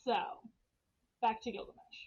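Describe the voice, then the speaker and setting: A woman's voice: normal speed, dark tone, lo-fi sound. A woman hosting a podcast, retelling a story in a casual, irreverent way with slang and swearing. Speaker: podcast host telling a story.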